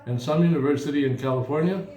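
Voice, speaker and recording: A man in his fifties from the United States, an attorney answering interview questions slowly with many pauses and fillers. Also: a little high, dark tone, roomy sound, poor audio. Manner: slow, hesitant, many fillers.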